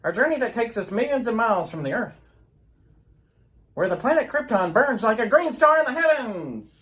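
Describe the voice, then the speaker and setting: A woman's voice: a little high, very animated, moderate pace, a bit bright. A woman narrating a scripted old-time radio serial with a theatrical, dramatic announcer delivery.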